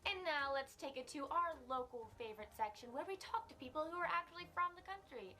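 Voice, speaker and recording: A woman's voice: high-pitched, animated, fast talker. A woman hosting her show with bubbly enthusiasm, interviewing guests at a friend's house. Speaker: show host. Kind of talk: upbeat vlog hosting and interviewing. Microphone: camera mic away from the speaker. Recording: echoey room, distant mic, faint noise.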